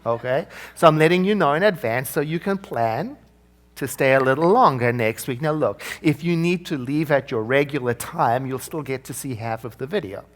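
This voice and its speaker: A man's voice: mid-range voice, normal speed. A man from South Africa with a South African accent, giving a sermon as minister in a conversational, storytelling way with frequent asides.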